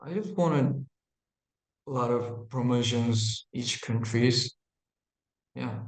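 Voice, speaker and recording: A young adult man with a Korean accent, a singer and guest answering interview questions in halting English with short replies. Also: medium pitch, echoey room, low-quality recording. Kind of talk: casual interview chat. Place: radio studio.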